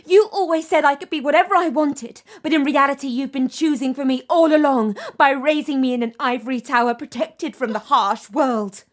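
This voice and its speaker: Actress as a defiant, high-voiced teenage daughter. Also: female voice, moderate pace, few pauses, natural voice. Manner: argumentative, emphatic. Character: defiant, headstrong teenage daughter demanding her freedom. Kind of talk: audio play acting.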